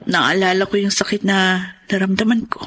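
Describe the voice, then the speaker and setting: A woman acting in a Filipino radio drama as a forgiving, emotional elder sister and grieving wife, speaking earnestly and deliberately. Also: medium pitch, fairly even intonation, a bit bright, breathy edge. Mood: tearful, reflective.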